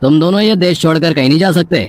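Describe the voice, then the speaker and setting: A man playing a stern, righteous police inspector in an animated moral story, speaking with accusing authority. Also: a little high, fast talker, no room sound, natural voice. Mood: commanding.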